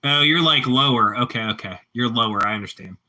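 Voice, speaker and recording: A man's voice: medium pitch, brisk, bright, forceful tone. A man with a Pittsburgh accent chatting casually with live-stream viewers, rambling and repeating himself as he answers the chat.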